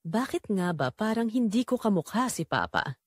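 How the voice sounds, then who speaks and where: A woman performs a guilt-ridden Filipino mother telling her story in the first person for an audio drama. Her delivery is earnest and reflective, with animated, emotional swings in pitch. The sound is close and dry, like a private confession. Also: moderate pace, no room sound. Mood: uneasy, guilty.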